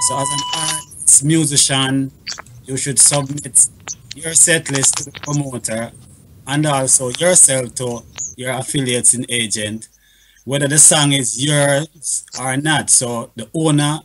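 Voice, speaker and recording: Earnest male panelist, faintly noisy line. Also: mid-range voice. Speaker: talk show panelist. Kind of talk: explanatory panel talk.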